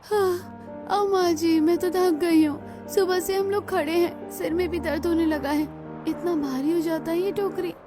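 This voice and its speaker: A woman's voice: very high voice, fairly even intonation, unhurried. A woman voicing a sweet, eager young city daughter-in-law in a cartoon story. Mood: tired, complaining. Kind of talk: scripted cartoon story dialogue. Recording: music behind the voice.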